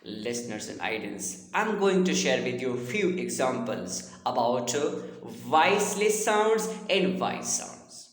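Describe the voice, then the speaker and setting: A man lecturing on a language subject in a deliberate, repetitive, formally explanatory way. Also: mid-range voice, big pitch swings. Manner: deliberate, repetitive teaching with formal address to listeners. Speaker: linguistics lecturer. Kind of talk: explanatory phonetics lesson. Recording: echoey room with long reverb.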